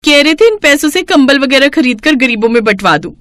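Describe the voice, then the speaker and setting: A woman's voice: sits high, sing-song, fairly quick, fairly bright tone, no room sound, voice pushed up. A woman voicing a haughty, boastful young daughter-in-law in a story, gloating and taunting as she talks.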